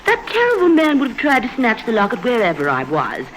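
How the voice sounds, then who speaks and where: A woman's voice: a little high, wildly swooping pitch, fairly quick. A woman playing a grateful, well-to-do married lady, effusive and fussy, in theatrical 1950s radio drama style. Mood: gushing. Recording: noisy old 1950s radio recording.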